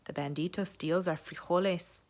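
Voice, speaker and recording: A woman's voice: lowish voice, steady tone, normal speed, a little mellow, close to an everyday voice. A woman voicing an earnest little male Chihuahua companion in a children's storybook, speaking emphatically.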